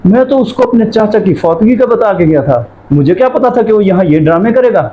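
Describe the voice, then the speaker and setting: A man's voice: high voice, animated. A man acting as an honest, respectful household servant in an amateur moral skit. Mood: astonished. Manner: earnest, deferential. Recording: dull lo-fi sound, faint noise.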